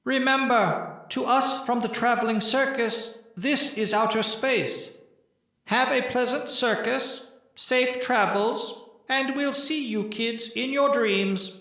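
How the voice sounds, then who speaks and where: A man's voice: very high voice, slow pace, sharp tone, reverberant, full character voice, poor audio. A man voicing a cheerful, theatrical space travel attendant with a courteous manner in an audiobook. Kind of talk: audiobook character voice.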